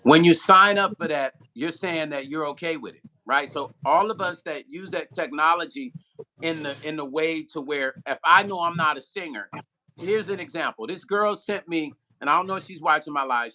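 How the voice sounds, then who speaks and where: A man hosting a casual livestream, chatting with a guest and reading viewer comments aloud in an animated way. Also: a little high, normal speed, slight background noise. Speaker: livestream host.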